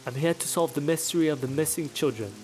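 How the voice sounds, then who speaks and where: A man's voice: a little high, fast, darkish tone, faint music behind, close to an everyday voice. A man voicing a blunt, inquisitive young woman witcher in a game. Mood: questioning. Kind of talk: voicing game dialogue read from screen.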